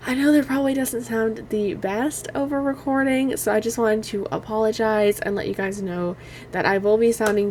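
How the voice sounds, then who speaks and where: Hoarse young woman rambling through a review. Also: medium pitch, slight background noise. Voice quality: quiet, cannot get louder. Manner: rambling, many "like" fillers, apologetic. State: hoarse, strained voice after allergies. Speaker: game reviewer. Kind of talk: casual spoken game review.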